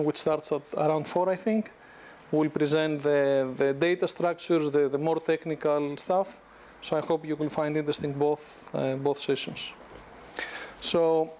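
A Greek man in his thirties speaks to a university class in a loose, conversational way, often restarting his sentences. His voice sits a little high for a man. The lapel mic keeps him close and clear in the classroom. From Greece. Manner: relaxed, conversational, frequent restarts and fillers. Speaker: guest lecturer. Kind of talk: informal business lecture.

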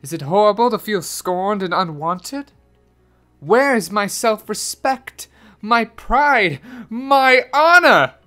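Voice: mid-range voice, animated, slow, sharp tone, soft background music, voice pushed up. A performer voicing an earnest, proud male mouse in a storybook, speaking in a dramatic, exclaiming way. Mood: wounded, anguished.

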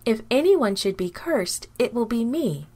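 A woman's voice: a little high, big pitch swings, unhurried, darkish tone, pitched above the performer's own voice, exaggerated voice. A woman playing a bossy, scheming, determined mother in a children's Bible story, speaking firmly and commandingly. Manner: firm, commanding.

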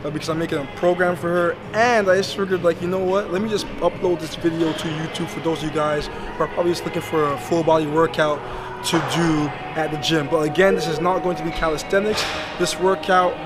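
A young man with a hoarse, fading voice chatting casually about a workout in a gym, rambling a bit and laughing now and then. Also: on the high side, fairly even intonation, fast talker. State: hoarse, losing his voice. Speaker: fitness vlogger and trainer. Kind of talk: casual workout vlog talk. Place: gym, indoors.

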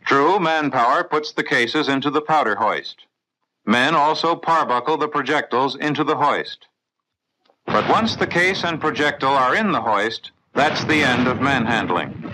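A man with an American accent reading scripted mid-century instructional film narration for the navy in a clear, methodical, authoritative way. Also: medium pitch, bright, forceful tone, slight background noise, poor audio. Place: voice-over recorded in a studio.

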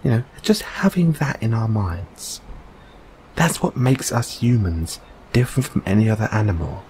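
A man playing a gentle, reassuring boyfriend in an intimate comfort audio roleplay, speaking softly and hesitantly. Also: on the low side, lively intonation, slight background noise, natural voice. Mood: earnest, reassuring. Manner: soft, hesitant, soothing, unhurried.

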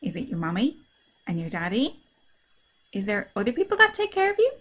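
A woman drama teacher reading a story aloud to children, asking them simple questions in an animated, child-directed way. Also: medium pitch, a little fast. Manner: animated, simple child-directed talk, acting out feelings and sounds.

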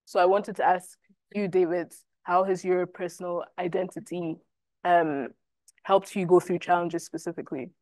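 A woman's voice: fairly low pitch, steady tone, moderate pace, a little mellow, dry recording. A young woman with a Scottish accent and German as her first language, moderating a university panel in a reflective way. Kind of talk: panel discussion. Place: university classroom, indoors.

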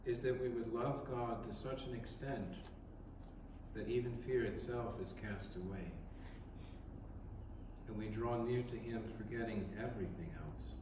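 Priest guy giving a sermon, slow and thoughtful, sounds far off in a big echoey church. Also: lowish voice, steady tone, noisy background. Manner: slow, reflective, with pauses and restarts. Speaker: Orthodox priest. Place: large church, indoors. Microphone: distant camera in the congregation.

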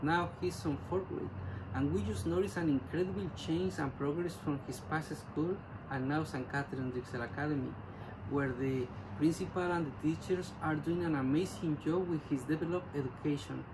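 Man, middle-aged, school parent, careful measured reading, slightly high voice, noisy recording. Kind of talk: reading a prepared testimonial. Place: living room, seated on a couch. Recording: noisy background hiss.

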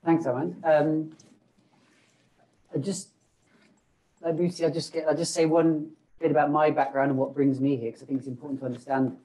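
A man's voice: on the high side, flat delivery, dark tone, low-quality recording. A middle-aged man on a panel who speaks with many repeated words and restarts, recorded on a lapel mic in an indoor room. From not South Africa. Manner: fast, stumbling with many repetitions. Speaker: business school panelist. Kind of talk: panel discussion.

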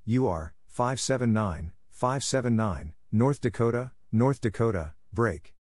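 A man's voice: medium pitch, expressive, measured pace, a little mellow, no room sound, studio quality. A man reading scripted radio exchanges and call signs as a practice narrator, in a clipped, word-by-word delivery.

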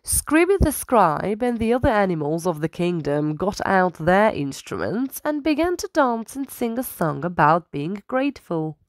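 A woman reading a children's story aloud as a storyteller, with dramatic, deliberate emphasis. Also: mid-range voice, very animated, no echo.

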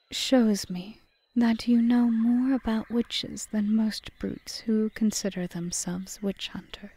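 A woman playing a cunning, possessive, seductive witch in an audio roleplay, speaking in a formal, measured, softly whispery way. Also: mid-range voice, slightly rough. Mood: coolly appraising. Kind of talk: ASMR audio roleplay, scripted monologue.

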